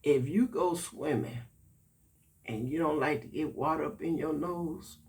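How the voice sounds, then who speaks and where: Slow, congested older man. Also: on the high side, creaky, darkish tone. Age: 60s. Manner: chatty, folksy, addresses viewers directly. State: congested, raspy. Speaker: vlogger reviewing a product. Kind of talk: casual chatty review.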